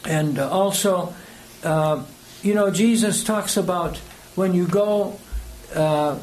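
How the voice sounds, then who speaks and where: A man's voice: high voice, slow pace, a little mellow, poor audio. An older man with an American accent giving a conversational sermon, rambling and hesitant as he tells personal anecdotes. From United States. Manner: hesitant, rambling, chuckles between phrases. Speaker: preacher. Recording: clear background noise.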